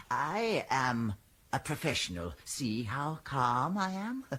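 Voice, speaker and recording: Gloating male assassin, radio drama: mocking, deliberate, husky delivery, boastful calm. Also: medium pitch, heavily acted.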